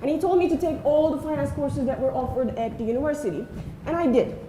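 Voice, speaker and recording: A woman, giving an earnest, friendly conference talk with frequent pauses. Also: high-pitched, mellow. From Turkey. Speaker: invited speaker, assistant professor. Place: lecture room. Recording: echoey room, faint noise.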